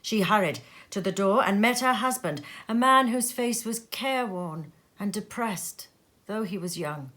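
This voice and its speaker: A woman storyteller giving an expressive, measured narration of a story, with dramatic pauses. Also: mid-range voice. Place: sitting room.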